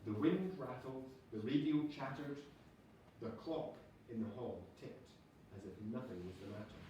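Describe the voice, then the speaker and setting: A man, a teacher, reading a storybook aloud to children in a dramatic, drawn-out way. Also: mid-range voice, lively intonation, mellow. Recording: echoey room, distant mic, background noise.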